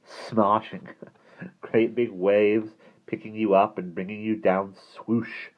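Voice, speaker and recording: Man as a cheeky storybook schoolboy, boisterous, brisk, combative, lifted pitch, slightly muffled recording. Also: very animated, breathy edge. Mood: gleeful, teasing. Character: cheeky, teasing, combative English schoolboy, older brother.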